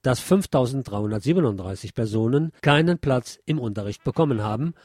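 A man's voice: mid-range voice, animated, a little fast, darkish tone, no room sound, clean studio sound. A man presenting scripted news on the radio, reading as a presenter.

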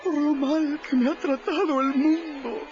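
An actor playing a self-pitying young swindler who poses as a duke, in a theatrical, melodramatic manner. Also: male voice, very high-pitched, steady tone, unhurried. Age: 30s. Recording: dull audio with music behind.

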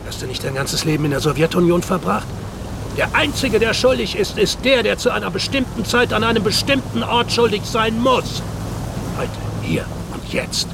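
An actor playing a gloating, mock-friendly Armenian nationalist bomber in a crime radio drama, theatrical and taunting. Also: male voice, high-pitched, expressive, moderate pace, gravelly. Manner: theatrical, taunting, falsely jovial. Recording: outdoor vehicle noise behind.

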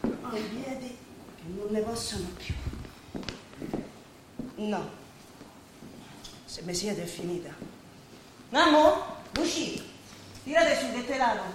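Actress as grumbling Roman housewife, echoey stage. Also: female voice, fairly high pitch, lively intonation, slow, long pauses. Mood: weary grumbling. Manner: grumbling, bustling. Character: weary, bossy ageing Roman housewife, grumbling about chores. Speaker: stage actor. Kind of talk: theatrical stage drama dialogue. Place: theatre stage, recorded from afar. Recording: echoey stage, distant mic, noisy.

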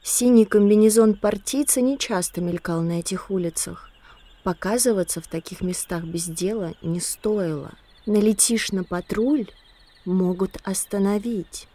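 Female narrator, unhurried, dark mellow tone, mid pitch, scripted drama narration.

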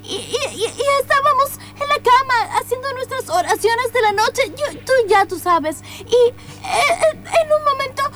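A woman playing a flustered religious woman who gives halting, stammering testimony in improvised comedic radio theatre. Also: very high-pitched, lively intonation. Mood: flustered, hesitant. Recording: loud music behind the voice.

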